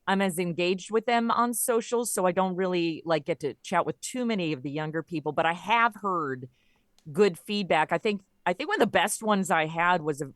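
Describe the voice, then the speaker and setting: A woman's voice: on the low side, a bit bright, no echo, studio quality. A middle-aged woman speaking as a podcast guest in a casual conversational style, with a wry, joking manner. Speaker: podcast guest, audio comedy creator.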